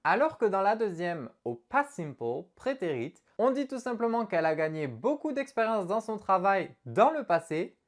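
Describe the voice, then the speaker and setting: A woman teaching an online English lesson in an animated, expressive way as she explains to viewers. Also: medium pitch, normal speed, few pauses.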